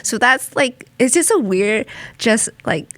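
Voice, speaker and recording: A woman's voice: a little high, animated, a little slow, fairly bright tone, no room sound. A young woman from Vietnam, a podcast guest telling personal stories in a reflective way, with many fillers and restarts. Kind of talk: personal storytelling in conversation.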